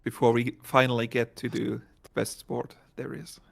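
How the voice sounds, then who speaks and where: A man's voice: medium pitch, level tone, normal speed, creaky. A man co-commentating a speedrun casually, with dry humor. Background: game music and dialogue.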